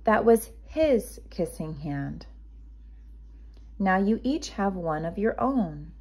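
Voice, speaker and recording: Woman as a gentle, unhurried storybook mother raccoon. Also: mid-range voice, expressive. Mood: reassuring. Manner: soothing, reassuring, patient. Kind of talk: children's storybook read-aloud.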